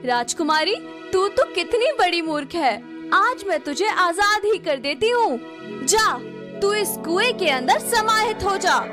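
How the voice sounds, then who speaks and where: A woman's voice: very high-pitched, very animated, unhurried, music in the background, heavily acted. A woman voicing a wicked, grasping fairy in a cartoon, with an imperious, demanding manner. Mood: menacing, scornful. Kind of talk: Hindi moral-story cartoon acting.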